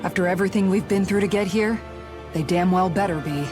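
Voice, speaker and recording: A woman's voice: fairly low pitch, a little fast, music in the background, close to an everyday voice. An actress playing a composed, no-nonsense warrior woman in a video game, with a dry, matter-of-fact manner. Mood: grimly determined. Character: composed, wry, no-nonsense female warrior companion. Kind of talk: dramatic game cutscene acting.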